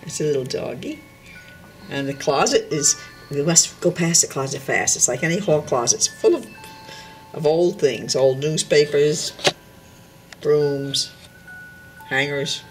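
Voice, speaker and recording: A woman's voice: sits low, measured pace, pauses often, soft background music, rough recording. An older woman chatting casually and unscripted as she gives a home tour, meandering and correcting herself as she goes. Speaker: dollhouse owner giving a tour. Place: indoors at home, filming a dollhouse.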